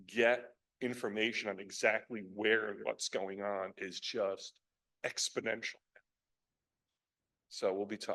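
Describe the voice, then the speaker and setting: A man's voice: lowish voice, a bit bright. A man explaining brain imaging advances in a clinical discussion, speaking with enthusiasm. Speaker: physician presenter. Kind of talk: academic case discussion.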